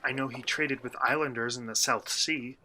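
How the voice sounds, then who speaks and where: A man plays a young outsider in a radio drama, earnest but guarded. His voice is bright and forward, his delivery measured and hesitant, with faint noise behind it. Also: mid-range voice, steady tone. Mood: earnest, probing. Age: young adult. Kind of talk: radio play acting.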